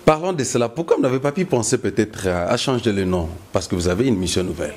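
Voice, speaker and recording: A man with a Congolese accent hosting a political interview, putting drawn-out rhetorical questions. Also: fairly low pitch, expressive, darkish tone, slight background noise. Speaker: host.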